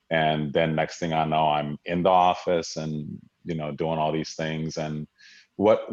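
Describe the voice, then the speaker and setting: A man from Hawaii, a guest in an interview, talking in an earnest, reflective way with many fillers and restarts. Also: deep voice. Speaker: guest, diversity leader. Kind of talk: conversational interview. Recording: dull lo-fi audio.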